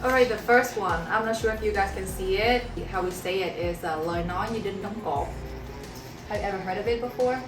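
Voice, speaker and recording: A young woman hosting a casual home chat show, talking in a bubbly, excitable way. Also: medium pitch, quick pace. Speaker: host. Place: home room. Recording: echoey room, mic far off, music behind.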